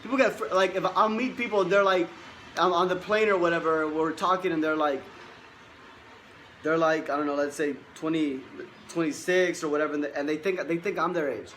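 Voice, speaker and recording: A man's voice: fairly high pitch, steady tone, a little fast, fairly bright tone, a little hiss or hum, rough recording. A man in his twenties, a life coach giving a boastful, emphatic motivational monologue and repeating himself for stress. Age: 20s.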